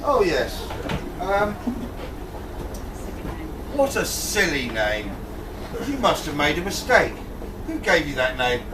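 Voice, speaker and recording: A man's voice: fairly high pitch, sing-song, slow pace, long pauses. A man as a doddery old pantomime king, swooping wildly. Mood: confused, dismissive. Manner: muddled, hesitant, blustering comic delivery. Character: doddery, forgetful, pompous old king, father of prince. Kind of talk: amateur pantomime stage acting. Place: amateur show in a pub, with audience. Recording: distant mic in noisy hall with audience.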